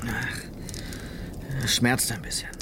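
A man's voice: medium pitch, steady tone, drawn-out words, background noise. A male actor playing a wounded, sceptical city policeman out west in a radio play, with a dry, grumbling way of talking. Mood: pained. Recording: radio play with loud music behind.

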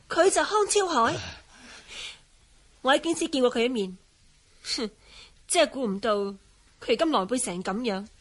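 A woman playing a spirited, excitable young martial-arts fighter in a historical radio drama, quick to scorn. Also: a little high. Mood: amazed, scornful.

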